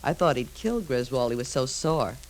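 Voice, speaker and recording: An actress playing a flirty, brash band saxophonist in a 1950s radio drama, breezy and slangy. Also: female voice, a bass voice, lively intonation, moderate pace, a little mellow, a little hiss or hum.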